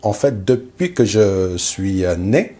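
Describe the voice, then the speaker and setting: A man's voice: on the low side, sing-song, takes it slowly, warm tone. A man from Algeria, a missionary guest, telling his personal religious testimony in short phrases with rhetorical questions.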